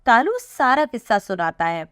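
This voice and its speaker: A woman's voice: a little high, very animated, normal speed, clear, resonant tone, no room sound. A woman narrating a scripted children's moral story.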